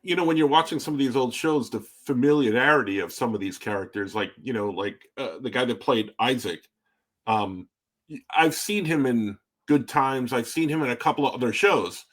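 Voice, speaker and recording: A man's voice: medium pitch, normal speed, fairly bright tone. A man co-hosting a casual podcast, riffing with loose, jokey remarks and many filler words. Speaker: podcast co-host.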